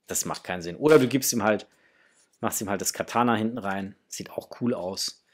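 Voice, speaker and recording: A man's voice: fairly low pitch, moderate pace. A middle-aged man hosting a toy unboxing, chatting casually and enthusiastically to his viewers in a gushing way. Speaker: host of a toy collecting channel. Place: small home room indoors.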